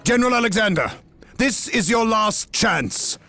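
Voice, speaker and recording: A man playing a resolute armored space warrior captain in a video game, formal and declamatory, with a husky, gravelly voice. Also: high-pitched, big pitch swings, a little slow, sharp tone, faint music behind, full character voice. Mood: solemn warning. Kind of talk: dramatic video game acting.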